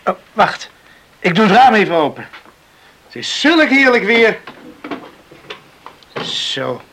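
A man's voice: high voice, very animated, takes it slowly, fairly bright tone, slight background noise, full character voice. An actor playing an eager, caring young countryman in a Dutch radio drama, speaking earnestly and solicitously. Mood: tender, caring.

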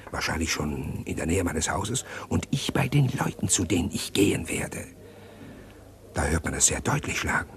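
An actor playing a scheming, respectable embezzler in a radio crime drama, laying out a plan in a precise, insistent, often hushed way. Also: male voice, lowish voice. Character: scheming respectable male embezzler plotting a fake burglary.

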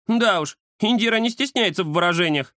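A man voicing a chatty, mischievous young cat with an excitable, teasing manner in a children's story. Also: high-pitched, fairly quick, dry recording, studio quality, voice pushed up. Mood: chatty, aggrieved. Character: cheeky chatty round-faced young male cat, mischievous.

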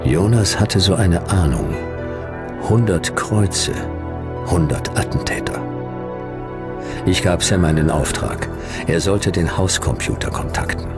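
An actor playing a laconic, wry hard-boiled detective who tells his case in clipped, deadpan phrases in a sci-fi radio drama. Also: deep voice, creaky, full character voice. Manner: dry, clipped, deadpan delivery. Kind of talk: German noir sci-fi radio drama narration and dialogue. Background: music.

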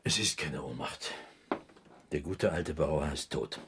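An actor playing a stern, suspicious physician in a German radio drama, speaking in a husky, pointed, deliberate way. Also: male voice, rumbling low, a bit bright, slight background noise. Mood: grave, pointed.

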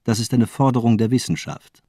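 A man's voice: medium pitch, steady tone, a little fast, dark tone, no echo, studio quality, natural voice. A man playing a worldly, composed surgeon with hidden menace in a radio drama, speaking in a polished, formal manner. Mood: smooth, guarded.

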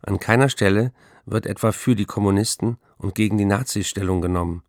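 An actor playing a driven, exacting novelist in a radio play, speaking precisely and emphatically. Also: male voice, lowish voice, a little fast, dry recording, clean studio sound, natural voice. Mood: indignant. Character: driven, exacting male novelist, defiant in his letters.

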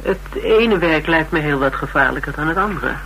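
An actor playing a polite, inquisitive interviewer in a radio drama, probing with courteous, lightly amused questions. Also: male voice, a little high, expressive, normal speed, sharp tone, low-quality recording. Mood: curious, lightly amused. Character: polite, inquisitive male interviewer sizing up a recruit. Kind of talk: naturalistic Dutch radio drama acting. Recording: radio recording with background hiss and hum.

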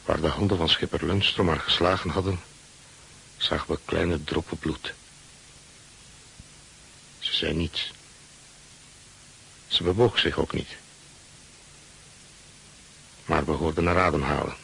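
An actor playing a seafaring man who narrates grim memories in a measured, sombre radio play style. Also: extremely low pitch, fairly even intonation, creaky, a bit bright, husky, exaggerated voice, poor audio.

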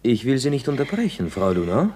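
A man's voice: mid-range voice, big pitch swings, slight background noise, natural voice. A man playing a glib, teasing young actor in a 1960s German radio comedy, theatrical and mock-earnest. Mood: glib patter. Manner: theatrical, mock-earnest, swings from brisk patter to soft pleading. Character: glib, teasing young actor, smooth-talking his landlady.

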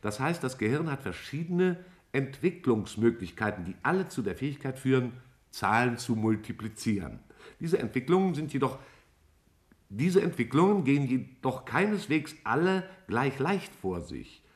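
A man playing a vast alien cloud intelligence in a 1950s German radio play, speaking in a formal, measured, didactic way. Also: mid-range voice, sing-song, natural voice. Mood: didactic, detached.

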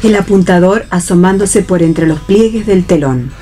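Mellow Argentine woman narrating a play. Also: on the low side, moderate pace, husky, soft background music. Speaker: stage-direction narrator. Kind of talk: scripted narration for a read radio play.

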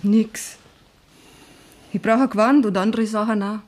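A woman's voice: mid-range voice, fairly even intonation, unhurried, darkish tone, close to an everyday voice. A woman playing a brazen, mercenary girl of about seventeen with a Bavarian accent in a radio play. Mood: teasing, mercenary. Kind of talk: 1970s German radio play acting in dialect.

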